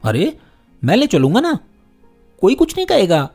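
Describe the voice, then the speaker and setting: A man's voice: sits high, huge pitch swings, normal speed, warm tone, breathy edge, faint music behind, pitched above the performer's own voice, exaggerated voice. A man voicing a confident, friendly young boy from a rich home in a story, insistent and inviting.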